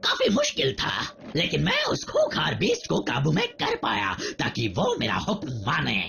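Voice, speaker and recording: A man's voice: a little high, wildly swooping pitch, normal speed, vocal fry, bright, forceful tone, a little hiss or hum, exaggerated voice. A man voicing a boastful, theatrical robot commander in a cartoon dub, gloating and mock-polite. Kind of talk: animated series dub acting.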